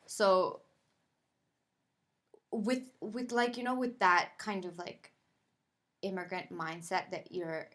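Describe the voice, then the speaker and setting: A woman from Pakistan, a podcast guest, talking casually and thoughtfully with many pauses, fillers and restarts. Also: mid-range voice, fairly even intonation, fairly bright tone. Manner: thoughtful, halting, lots of "like" and restarts. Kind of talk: casual conversational interview.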